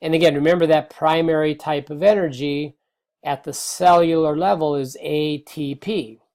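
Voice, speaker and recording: Middle-aged man, slightly hoarse, biology lecture, relaxed, lots of ums, webcam in a small room. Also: a little high. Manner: relaxed, explanatory, many fillers and restarts. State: slightly hoarse, clearing throat. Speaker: biology teacher. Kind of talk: recorded slide lecture.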